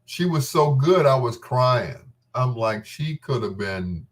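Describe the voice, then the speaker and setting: A man's voice: mid-range voice, animated, slow pace. A man hosting a talk show with a casual, unscripted monologue, gossipy and emphatic, often repeating his phrases. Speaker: host of a bedtime talk show.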